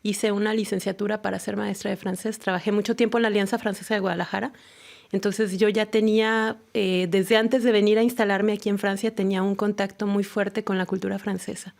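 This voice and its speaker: A woman's voice: mid-range voice, little pitch movement, fairly quick, gravelly, a little mellow. A woman from Mexico with a Mexican accent, answering interview questions in a studio. She is thoughtful and often hesitates while searching for words. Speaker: tequila ambassador, guest. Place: radio studio.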